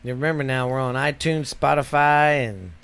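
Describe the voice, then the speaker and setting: Texan man, podcast co-host, mid-pitched voice, rambling and folksy. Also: fairly even intonation, normal speed, gravelly, fairly bright tone, clean tone. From Texas. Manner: rambling, folksy, repeats himself. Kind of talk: casual chat.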